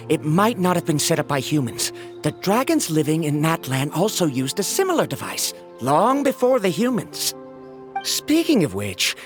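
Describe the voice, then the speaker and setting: An actor playing an eager young male scholar in a video game, lecturing about his theories in a pedantic way. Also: fairly high pitch. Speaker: researcher. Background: game music.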